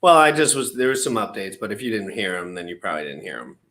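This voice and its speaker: A man's voice: lowish voice, sing-song, fast, bright, forceful tone. A man hosting a casual live show, loose and joking, talking with guests and swearing casually. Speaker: livestream show host. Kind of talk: casual chat.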